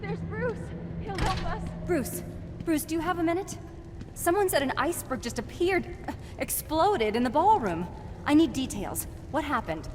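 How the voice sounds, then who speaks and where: An actress playing a bold, inquisitive reporter in a video game, pushy and full of questions. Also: female voice, extremely high pitch, very animated, moderate pace, pauses often. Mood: eager, pressing. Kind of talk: video game cutscene acting. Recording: reverberant hall, faint background noise.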